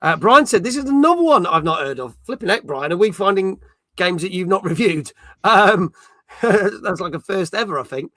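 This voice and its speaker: A British man in his forties hosts a casual gaming stream. His voice sits on the high side and is very bright and forward, captured close and dry. He chats and reads out live comments as he goes. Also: animated, normal speed, no room sound. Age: 40s.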